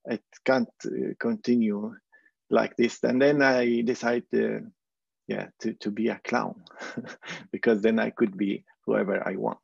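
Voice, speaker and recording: A man from Palestine who works as a clown, speaking on a panel with hesitant pauses and many fillers. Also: lowish voice, flat delivery, creaky, breathy edge, no echo, highs cut off. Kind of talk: panel talk.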